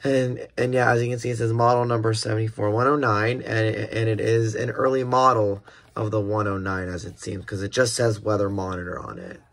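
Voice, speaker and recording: A man's voice: on the low side, little pitch movement, a little fast. A young American man chatting casually through a hands-on unboxing, often repeating words and restarting. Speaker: video creator unboxing weather radios. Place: indoors at a desk. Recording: clear background hiss and handling clicks.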